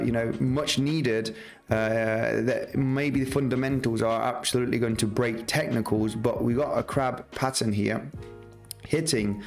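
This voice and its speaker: A man with a gravelly, slightly rough voice hosts a live trading stream. He talks loosely through his charts, full of fillers and restarts. Faint music plays softly behind him. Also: mid-range voice. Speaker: market analysis livestream host.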